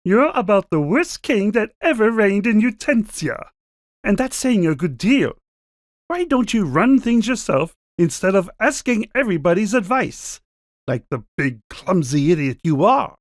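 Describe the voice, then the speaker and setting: An impudent living saucepan , put on by an actor, sneers brashly in a storybook drama. The delivery is scornful and lively in pitch, recorded very dry. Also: unhurried, no echo.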